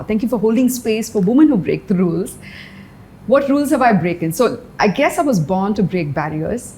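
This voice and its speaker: Earnest woman from india, mellow warm voice, reflective interview talk, lots of "you know". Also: mid-range voice, slight background noise, low-quality recording. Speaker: interview guest. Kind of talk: candid personal interview.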